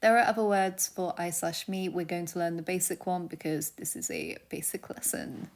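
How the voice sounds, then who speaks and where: A young woman casually teaching a vocabulary lesson, chatty and laughing often, dropping to a soft near-whisper when she gives word meanings. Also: lowish voice, expressive, moderate pace. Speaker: language teacher.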